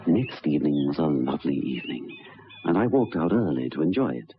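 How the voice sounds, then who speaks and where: An actor playing a troubled, earnest gentleman who recounts a ghostly tale in formal, deliberate, halting old-time radio style, with a husky voice. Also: extremely low pitch, big pitch swings, music in the background, full character voice. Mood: calm, reflective. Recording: dull vintage radio recording.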